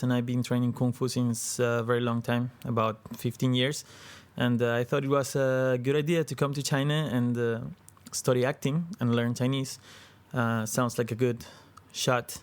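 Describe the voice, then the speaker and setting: A young man from Venezuela, speaking at a mid pitch. He answers interview questions hesitantly, stopping often to search for words. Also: clear, resonant tone. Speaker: film crew member, actor. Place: hotel room.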